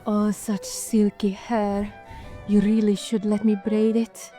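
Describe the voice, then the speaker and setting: A woman's voice: medium pitch, flat delivery, takes it slowly, warm tone, soft background music, close to an everyday voice. A woman playing an eccentric, languid vampire archduchess in a fantasy roleplay, airy, drawling and theatrical as she dotes. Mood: doting, pleased.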